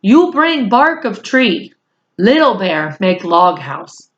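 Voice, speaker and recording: Lady doing a bossy tiny storybook guy, clipped broken sentences, real slow. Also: medium pitch, big pitch swings, lo-fi sound. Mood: bossy, terse. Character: tiny Native man, blunt, commanding, calm.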